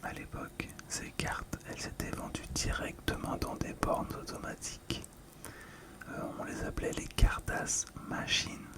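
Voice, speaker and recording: A middle-aged ASMR host talking through a collectible in a calm, gentle, hesitant way, close to the microphone. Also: unhurried. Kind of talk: whispered ASMR commentary.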